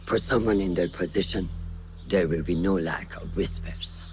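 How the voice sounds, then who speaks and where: An actor playing a stern, watchful mentor of assassins in a video game, commanding and sententious, giving measured orders. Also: male voice, low-pitched, music in the background, close to an everyday voice. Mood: stern, instructive. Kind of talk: dramatic game cutscene acting.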